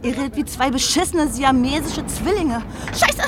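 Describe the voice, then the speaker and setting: High-voiced frantic young female backpacker. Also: animated, normal speed. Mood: desperate pleading. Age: young adult. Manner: agitated, urgent, pleading and defiant. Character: frantic, foul-mouthed young woman backpacker on the run. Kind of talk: radio drama acting. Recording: noisy train ambience, people around.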